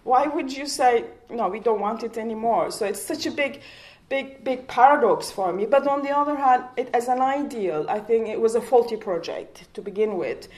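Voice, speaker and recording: A woman's voice: on the high side, moderate pace, a little mellow. A woman in her forties whose first language is Kurdish, speaking on a panel in a community hall with a handheld mic, impassioned, with rhetorical questions and frequent restarts. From Turkey. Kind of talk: public panel talk.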